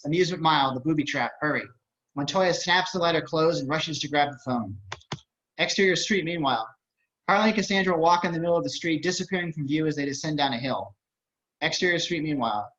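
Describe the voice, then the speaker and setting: A man's voice: a little high, fairly bright tone. A man reading out stage directions as narrator of a script table read over a video call. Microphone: computer on a video call. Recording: dull, lo-fi video-call audio.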